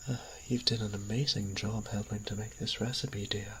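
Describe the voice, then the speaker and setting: A man playing a kindly, genteel vampire priest in an audio drama, speaking politely and courteously with pauses mid-phrase. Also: lowish voice, flat delivery, sharp tone, slight background noise, low-quality recording. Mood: gracious, pleased. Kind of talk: scripted audio roleplay drama.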